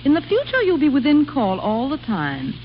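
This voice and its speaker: A haughty, imperious grand duchess in an old radio comedy. Her voice is fairly high and very expressive, used to giving orders. The recording is muffled and aged. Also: moderate pace, soft background music. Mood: imperious, indignant. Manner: imperious, commanding. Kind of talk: 1930s-40s American radio comedy acting.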